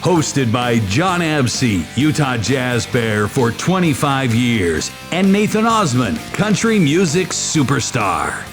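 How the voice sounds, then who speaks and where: Male announcer, slow and expressive, reading the intro over guitar music. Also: medium pitch. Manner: measured, announcing. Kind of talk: scripted show intro voice-over.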